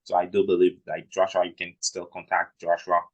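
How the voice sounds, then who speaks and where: A man's voice: deep voice, monotone, a little fast, muffled recording. A man hosting a casual interview, speaking hesitantly with many "um" and "I mean" fillers. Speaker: interview host.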